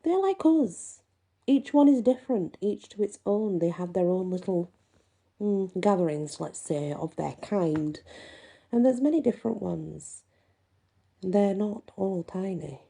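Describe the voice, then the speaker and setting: A woman host reading folklore aloud and musing on it, with deliberate, emphatic phrasing. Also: mid-range voice, expressive, creaky, warm tone, slightly rough. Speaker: host and reader. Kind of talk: reading a folklore book aloud with casual asides.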